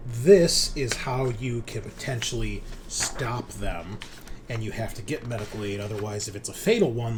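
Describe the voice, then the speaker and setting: A man running a tabletop roleplaying game, narrating and explaining rules in a halting, think-aloud way. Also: on the low side. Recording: clear background noise.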